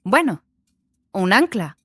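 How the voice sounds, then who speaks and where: A woman's voice: mid-range voice, big pitch swings, takes it slowly, fairly bright tone, slightly rough, dry recording, exaggerated voice. A woman playing a proud, earnest young female apprentice in an audiobook, precise and slightly hesitant. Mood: explaining. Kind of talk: dramatized audiobook acting.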